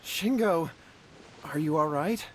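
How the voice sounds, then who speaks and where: A man's voice: a little high, expressive, takes it slowly, a little hiss or hum. A man voicing a timid, remorseful young man in a video game, hesitant and earnest. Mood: grateful, concerned.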